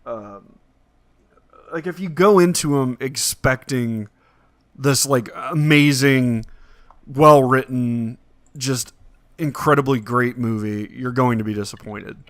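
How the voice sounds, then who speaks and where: A man with an average-pitched voice talks casually as a podcast host. He phrases things haltingly, circling back over his words. The sound is dry, with very little room in it. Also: a bit bright, no echo. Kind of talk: casual movie discussion podcast.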